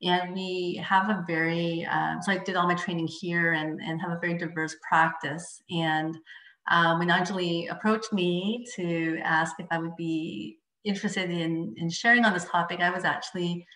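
A woman's voice: on the low side, steady tone, moderate pace, reverberant, poor audio. A woman family physician whose first language is Mandarin, presenting on a webinar video call in a chatty way, with many fillers and restarts. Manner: chatty, frequent fillers and restarts, laughs softly.

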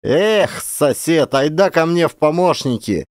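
Male reader as rude, jeering peasant troublemaker for audiobook story; middle-aged, insolent, mock-deferential, pitched up. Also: lively intonation, unhurried, dry recording, studio quality. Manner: taunting, mock-respectful, brazen. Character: rude, spiteful, jeering village drunkard, middle-aged man.

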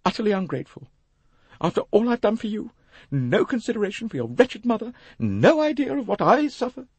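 A man voicing a self-pitying middle-aged mother in an audiobook, shrill, complaining and scolding. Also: very animated, brisk, darkish tone, slightly rough, dry recording, exaggerated voice. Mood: aggrieved scolding.

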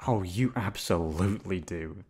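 A man's voice: low voice, unhurried, a little mellow, slightly rough. A man acting as a teasing, affectionate boyfriend in an intimate ASMR roleplay, coaxing and pleading playfully. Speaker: voice actor performing an audio roleplay.

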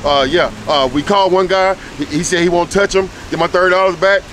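Fast-talking man lecturing outdoors. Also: high-pitched, fairly even intonation, a bit bright, a little hiss or hum, poor audio. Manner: lecturing, profane, insistent. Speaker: street interviewer. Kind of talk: blunt street talk. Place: outdoors in a rainy parking lot.

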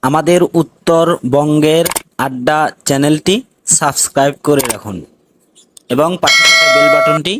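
A male channel presenter with a North Bengal Bangladeshi accent reads a scripted sign-off to viewers. There is clear noise behind his voice. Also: a little high, moderate pace.

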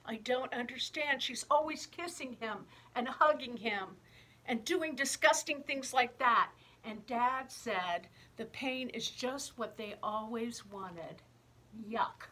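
Storybook woman performer as an aggrieved eight-year-old: bright, deliberate delivery, whiny and emphatic. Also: a little high, exaggerated voice, poor audio. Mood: sulky, resentful. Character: jealous, indignant eight-year-old third grader griping about younger brother. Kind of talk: expressive children's picture book reading.

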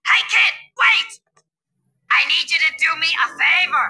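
Picture a brash old school lunch lady in a video game cutscene, cackling and swooning over a teacher. Her voice sits very high and sounds brightly sharp. She drags out her words slowly and often shouts. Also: expressive, exaggerated voice. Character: grumpy older female school lunch lady, lovesick.

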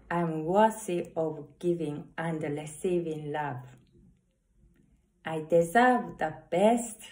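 Slow low-voiced Japanese-accented woman. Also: darkish tone. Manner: slow, earnest, halting English with self-corrections. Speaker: saxophonist vlogger. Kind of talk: reading love affirmations to camera. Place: corner of a small room indoors. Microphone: phone camera at arm's length.

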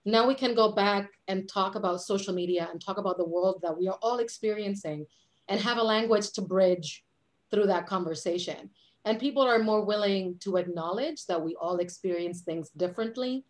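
A woman from Puerto Rico in her forties talks with lively hand gestures over a video call from a dim home office. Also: mid-range voice, moderate pace. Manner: animated, gestures a lot with her hands. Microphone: webcam on a video call.

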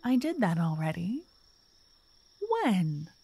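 A woman playing a playful, doting vampire writer in a soft ASMR roleplay, breathy and often whispering, with theatrical, old-fashioned wording. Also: lowish voice, very animated, slow pace, heavily acted. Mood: musing. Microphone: close, dry microphone.

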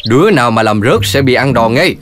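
A man's voice: mid-range voice, lively intonation, a little fast, lightly acted. A man voicing a stern, exasperated middle-aged father in a children's cartoon, lecturing emphatically with a Southern Vietnamese accent. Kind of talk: children's cartoon dub acting. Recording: loud music behind the voice.

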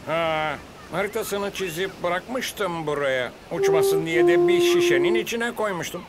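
High-voiced easygoing cartoon bird dad by a man. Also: unhurried, heavy background noise, close to an everyday voice. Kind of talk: children's cartoon acting. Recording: loud music behind the voice. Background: music.

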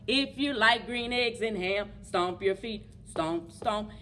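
A middle-aged woman hosting a children's story time in an animated, encouraging way, as if leading little listeners along. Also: a little high, slow pace. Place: indoors among library bookshelves. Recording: clear background noise, room ambience.

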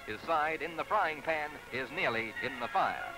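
Aussie guy doing old 50s newsreel footy narration, wry, slightly high voice, music blaring behind, vintage film sound. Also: lively intonation, normal speed, rough recording. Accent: Australian. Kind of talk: scripted 1950s newsreel sports commentary. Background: loud music.

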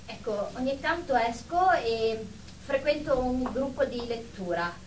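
A woman's voice: a little high, lively intonation, measured pace, distant mic, poor audio. A woman playing a fussy, defensive, germ-phobic therapy patient in a comic radio drama. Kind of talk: radio comedy-drama acting.

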